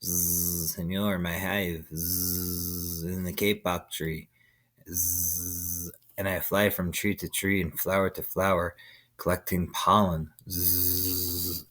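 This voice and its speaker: A man voicing a bee who buzzes between his phrases, slow and hushed. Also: low-pitched, flat delivery, pitched below the performer's own voice, exaggerated voice. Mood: gentle. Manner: drawn-out buzzing between phrases. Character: buzzing worker bee.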